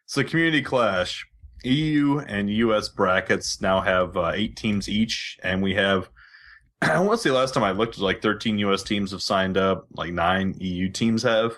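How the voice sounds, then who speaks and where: Man, deep pitch, podcast host, casual gaming chat, informal, rambling delivery. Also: moderate pace, fairly bright tone.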